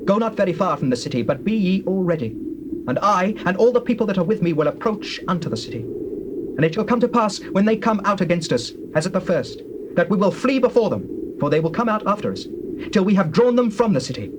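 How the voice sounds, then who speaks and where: An actor playing a grave leader of ancient Israel, declamatory and authoritative, in a dramatized scripture reading. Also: male voice, sits high, animated, a little fast. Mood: commanding. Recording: noisy old cassette tape.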